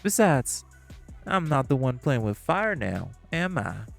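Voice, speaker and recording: A man's voice: medium pitch, very animated, unhurried, gravelly, faint music behind. A man voicing a sly, mocking male gangster, in an audio roleplay. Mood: smug. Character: smug, scheming male gangster, sly and teasing.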